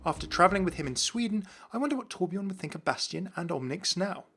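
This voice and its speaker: A man's voice: high voice, lively intonation, fast, a bit bright, soft background music. A man giving an explanatory voice-over as the host of a game lore series.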